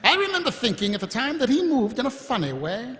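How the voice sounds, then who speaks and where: Casting: dogged, sardonic guard captain, high-pitched and very animated, live hall reverb. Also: male voice, quick pace, full character voice. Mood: suspicious. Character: dogged, cynical male city watch captain. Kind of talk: live radio theatre acting. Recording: echoing hall, live stage performance.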